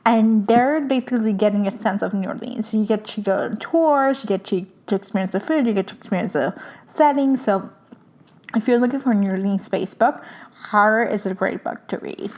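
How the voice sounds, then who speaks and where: A young woman from hosts a casual podcast, her Spanish. She talks eagerly, full of fillers and little restarts, at an ordinary mid pitch for a woman. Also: fairly quick, darkish tone. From Puerto Rico. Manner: enthusiastic, chatty, many fillers. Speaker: podcast host. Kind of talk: casual book recommendations.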